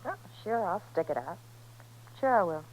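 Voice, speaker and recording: A woman's voice: on the low side, very animated, normal speed, darkish tone, poor audio. A woman playing a young, hard-edged, world-weary ranch wife in a 1950s radio Western, folksy and evasive. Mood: bitter. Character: young, restless, hard-edged ranch wife, former dance-hall girl.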